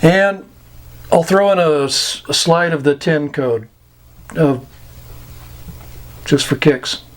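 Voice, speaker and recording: An American man giving an informal instructional talk, plain-spoken and pausing between short phrases. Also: on the high side. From United States. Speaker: amateur radio instructor. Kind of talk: informal slide lesson explaining radio slang.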